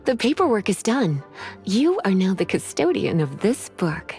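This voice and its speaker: A woman voicing a languid, teasing adult librarian mage in a video game, speaking in a slow, drawling way. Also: mid-range voice, sing-song. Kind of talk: game voice acting. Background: faint game music.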